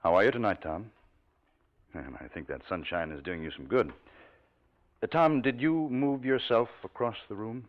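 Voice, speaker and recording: An actor playing a calm, courteous hospital doctor who speaks gently and with measured, murmuring hesitation in an old radio drama. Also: male voice, lowish voice, lightly acted. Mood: calm, inquiring. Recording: tinny, narrow-band old radio recording.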